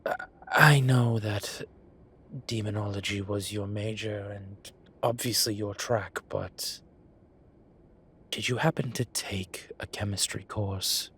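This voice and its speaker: A man playing an ancient, bookish incubus demon professor in an audio roleplay, dry and exasperated, with halting, sighing delivery. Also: lowish voice, fairly even intonation, a bit bright, a little hiss or hum. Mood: sheepish pleading. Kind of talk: audio roleplay acting, intimate one-sided dialogue.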